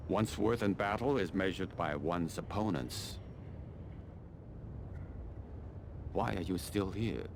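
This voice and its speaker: An actor playing a cold, calculating colonel in a video game, speaking in a measured, formal, condescending way. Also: male voice, sits low, creaky, background noise. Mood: musing, commanding. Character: cold, calculating middle-aged Chinese army colonel, male. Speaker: military commander giving mission briefings. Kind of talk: video game mission briefing dialogue.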